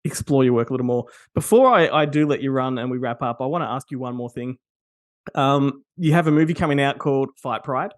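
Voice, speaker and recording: A man's voice: medium pitch, brisk, dry recording, clean studio sound. A man hosting a podcast interview, asking questions in an enthusiastic, affirming way. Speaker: podcast host. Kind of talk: casual interview.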